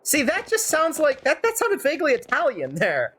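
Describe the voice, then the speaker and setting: A game streamer giving rambling, animated playthrough commentary with sardonic asides. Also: high voice, moderate pace. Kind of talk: playthrough commentary with fan-dub character reading. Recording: music behind the voice. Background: game music.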